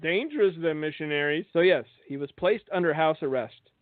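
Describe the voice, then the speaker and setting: A man hosting a religious broadcast, delivering political and religious commentary in a sardonic, rhetorical way with dramatic pauses. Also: on the high side. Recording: thin phone-like band, echoey room.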